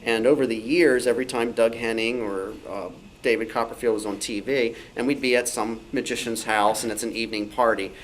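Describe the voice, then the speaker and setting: A man's voice: medium pitch, fairly even intonation, a little fast, few pauses. A man speaking off the cuff as a panelist at a club meeting of radio hobbyists. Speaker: panelist, old-time radio fan. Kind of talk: unscripted panel discussion. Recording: noisy live meeting room recording.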